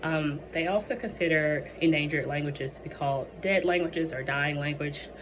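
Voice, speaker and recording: A woman's voice: low voice, normal speed. A young woman who is losing her voice, giving a hesitant student presentation full of ums and restarts. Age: young adult. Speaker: student presenting a capstone project. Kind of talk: presentation with many fillers. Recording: noisy background ambience.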